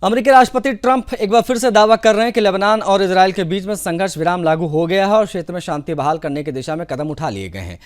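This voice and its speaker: A man's voice: sits high, quick pace, hardly any pauses. A man presenting television news with commentary, in the role of anchor.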